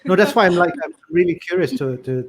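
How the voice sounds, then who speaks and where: A man's voice: high voice, quick pace, crowd noise. A man hosting a casual interview who talks hesitantly and repeats words as he asks questions. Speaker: host.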